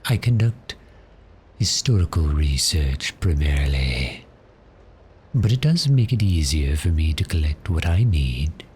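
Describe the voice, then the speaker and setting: Casting: male actor for a genteel, pleasant male vampire in ASMR roleplay, bottomless bass register, faint noise behind the voice. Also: big pitch swings, heavily acted. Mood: musing, pleasant. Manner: polite, unhurried, softly genteel with measured pauses. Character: cheerful, courteous male vampire posing as a bookshop customer.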